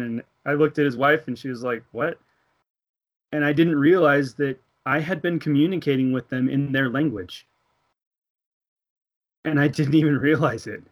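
A man's voice: mid-range voice, highs cut off. A man in his thirties from the United States, earnestly telling a personal faith story in casual conversation, laughing now and then and acting out the voices of the people in it. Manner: earnest storytelling, laughs between thoughts, acts out dialogue. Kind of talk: casual religious discussion.

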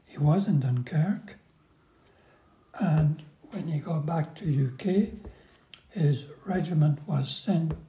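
A man in his seventies, from Northern Ireland, recalling his life story slowly with pauses in a casual interview. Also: on the high side, mellow, reverberant. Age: 70s. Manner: unhurried, halting recollection with pauses. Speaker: interview guest.

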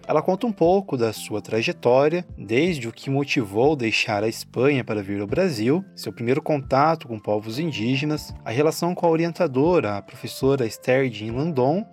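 A man hosting a podcast, reading a scripted introduction of his guest in a clear presenting manner. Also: medium pitch, expressive, normal speed, few pauses. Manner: clear, read-aloud presenting. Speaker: podcast host. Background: faint music.

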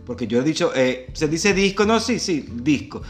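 A man's voice: on the high side, animated, moderate pace, soft background music. Chatty Venezuelan man. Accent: Venezuelan Spanish. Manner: chatty, laughs often, storytelling. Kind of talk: casual chat.

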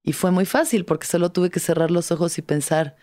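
A woman comedian from Mexico chats casually with a slightly low voice. She tells stories with energy and acts out her own past words along the way. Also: brisk, mellow. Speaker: stand-up comedian and actress. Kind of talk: casual podcast conversation.

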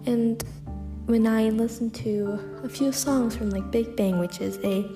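A woman's voice: on the high side, fairly even intonation, a little slow. A young American woman hosting a personal solo podcast, speaking reflectively with frequent "like" and "um" fillers. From United States. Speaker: podcast host. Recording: echoey room with faint music.

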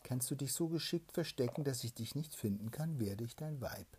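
A man's voice: medium pitch, expressive, moderate pace, a little hiss or hum, voice pushed up, natural voice. A man voicing a stern whispering sorceress princess. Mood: commanding. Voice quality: whispered, rising to loud sharp commands.

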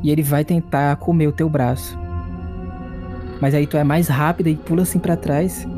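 A man's voice: fairly high pitch, fairly even intonation, moderate pace, dark tone, faint music behind. A man with a Brazilian Portuguese accent, narrating a tabletop game as game master in a laid-back way.

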